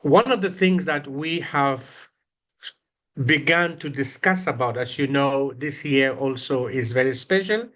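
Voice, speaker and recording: A man from northern Ghana with a Ghanaian accent, giving a slow, reflective prepared talk at a commemoration event, lifting his voice on key words. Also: medium pitch, a bit bright. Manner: slow, deliberate, with emphatic rises on key words.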